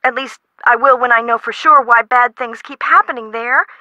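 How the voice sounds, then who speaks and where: A woman's voice: fairly high pitch, normal speed, dry recording, highs cut off. An actress playing an emotive, chatty woman homeowner in an adventure video game. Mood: indignant, determined. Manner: emotive, expressive, colloquial. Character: frightened, dramatic woman homeowner, chatty and friendly. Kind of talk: game character dialogue acting.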